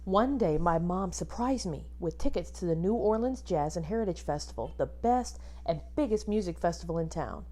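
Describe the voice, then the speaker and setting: A woman reading a children's book aloud expressively, like a teacher, pausing to instruct and put questions to young listeners. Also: fairly low pitch, lively intonation, mellow. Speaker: music teacher reading a picture book to pupils.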